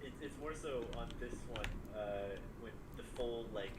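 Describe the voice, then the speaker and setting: A man streaming a modded game, chatting casually and jokingly with a friend. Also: unhurried. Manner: chatty, animated, jokey squeaks. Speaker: Minecraft modpack streamer. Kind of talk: casual gaming chat. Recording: echoey, distant mic with clear background noise.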